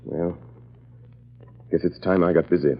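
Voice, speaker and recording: An actor playing a calm, grave crime fighter with measured, dramatic delivery in an old-time radio drama. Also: male voice, sits low, dull audio. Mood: resolute. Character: mysterious crime-fighting man, calm, grave, secretly invisible avenger. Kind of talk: 1930s radio acting.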